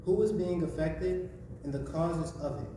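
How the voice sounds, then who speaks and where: A raspy young man, reading a prepared speech aloud, halting and restarting misread phrases. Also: on the high side. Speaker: student presenter. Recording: echoey room, voice from far off, noisy.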